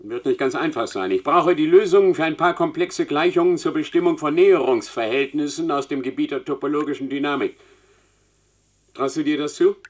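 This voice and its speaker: An actor playing an imperious, demanding dean of mathematics in a German science fiction radio play, with a pompous, condescending manner. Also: male voice, fairly high pitch, fairly quick, lightly acted. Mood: brisk, superior.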